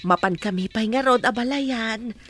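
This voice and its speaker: A woman acting a kindly middle-aged mother in a radio drama, speaking in a gentle, homely way. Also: on the high side, normal speed. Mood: polite, pleading. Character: kindly, devoted mother visiting her married daughter.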